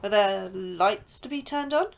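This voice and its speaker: A woman's voice: medium pitch, animated, unhurried, vocal fry. A woman playing a curious, chatty young science officer who likes to tease her colleagues in an audio drama.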